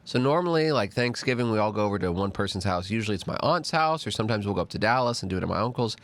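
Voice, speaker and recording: A man hosts a laid-back podcast. His voice sits fairly low and comes out nasal and stuffed up from a cold. He talks eagerly, his pitch leaping up whenever he stresses a point. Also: racing. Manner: animated and talkative, pitch jumping up on emphasis. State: congested from a cold. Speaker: podcast host. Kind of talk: casual chat.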